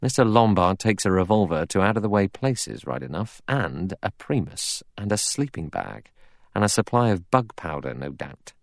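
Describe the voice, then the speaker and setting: A man's voice: low-pitched, big pitch swings, normal speed, no room sound. A male reader acts out a former police inspector in an audiobook. He is suspicious and plain-spoken, grumbling in blunt colloquial phrases, the sound close and dry. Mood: sceptical, muttering.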